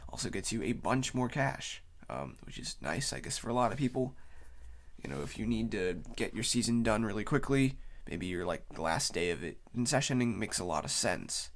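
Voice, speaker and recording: A man's voice: on the low side, expressive, normal speed. A man with a cold, sounding tired, gives a casual unscripted explainer as a video host, rambling loosely as he goes. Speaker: YouTube host.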